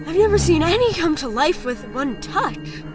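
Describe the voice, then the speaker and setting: Woman playing high-pitched earnest little boy Danny. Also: very animated, moderate pace, music in the background. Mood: amazed. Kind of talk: audio storybook drama.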